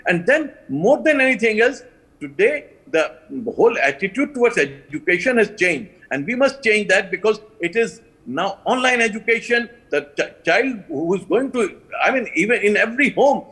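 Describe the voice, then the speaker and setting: An older man with an Indian English accent gives an earnest TV panel answer. His voice sits high and sounds bright and a little husky, and he drives his points home by repeating them. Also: rough recording. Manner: earnest, emphatic, repeats key phrases. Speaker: panel guest, alliance co-founder. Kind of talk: live TV panel interview.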